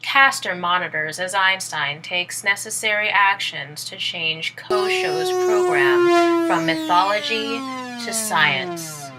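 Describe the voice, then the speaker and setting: A woman's voice: mid-range voice, big pitch swings, takes it slowly, very noisy. A woman narrating a scripted audio drama. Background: loud music.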